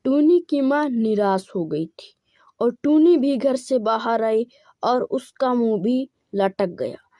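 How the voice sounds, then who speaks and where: A woman's voice: on the high side, expressive, slow, no echo, highs cut off. A woman narrating a scripted children's moral story.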